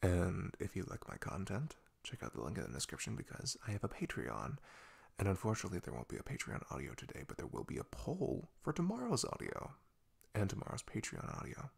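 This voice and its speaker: Gravelly, breathy man speaking low and soft. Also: wildly swooping pitch, fairly quick. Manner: hushed, often slipping into whisper. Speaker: ASMR audio creator. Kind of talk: soft-spoken ASMR channel update.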